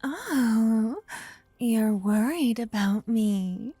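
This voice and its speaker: A woman playing an adorable young aspiring paladin in an ASMR roleplay, caring and teasing, soft-spoken and easily flustered into stammers. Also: a little high, expressive, extremely slow, slightly rough, no echo, soft background music, heavily acted. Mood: fond, playful.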